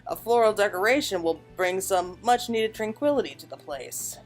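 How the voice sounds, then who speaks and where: A woman's voice: medium pitch, animated, moderate pace, soft background music, close to an everyday voice. A woman voicing friendly, wry engineers from a fantasy game in a light conversational read-aloud.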